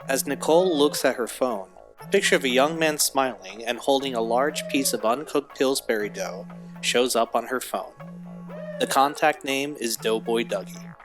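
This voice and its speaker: A man's voice: medium pitch, moderate pace, rough recording. A man reading scripted scene narration for an audio drama, describing the action as narrator. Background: music.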